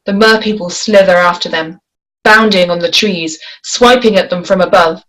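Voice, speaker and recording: A woman's voice: on the low side, normal speed, a bit bright, poor audio. A woman narrating scripted action and stage directions at a screenplay table read. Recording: faint background noise.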